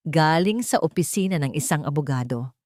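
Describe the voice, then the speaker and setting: Low-pitched woman narrating a story. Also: animated, brisk, darkish tone, no echo, studio quality. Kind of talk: scripted dramatic story narration.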